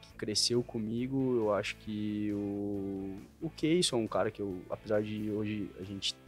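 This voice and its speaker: Man from Brazil, thirties, low voice, relaxed, many fillers, studio desk mic. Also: a little slow, soft background music. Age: 30s. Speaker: interview guest, retired footballer. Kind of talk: casual podcast interview. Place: podcast studio with shirts on the wall. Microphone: desk mic on a stand.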